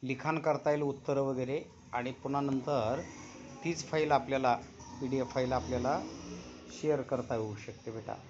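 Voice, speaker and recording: A man with a Marathi accent teaching a Sanskrit class online, instructive and deliberate in his enunciation. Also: mid-range voice, steady tone, music in the background. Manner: instructive, enunciates deliberately, prompts students with questions. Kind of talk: online class instruction with chanted verse recitation. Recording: dull, muffled online class audio.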